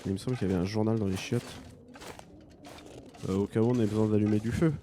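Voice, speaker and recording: Joking French streamer, low mellow voice, faint hiss. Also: male voice, fairly even intonation, fast. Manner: joking, chatty, thinking aloud. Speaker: gaming streamer. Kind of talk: let's play commentary.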